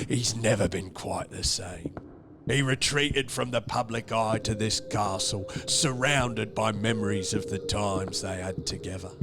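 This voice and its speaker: An actor playing a creepy, solemn castle butler in a comedy horror radio play, speaking in an ominous, formal, gravelly drawl. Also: male voice, lowish voice, noisy background. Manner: ominous, formal, drawn-out delivery. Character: creepy, solemn male castle butler serving a strange Count.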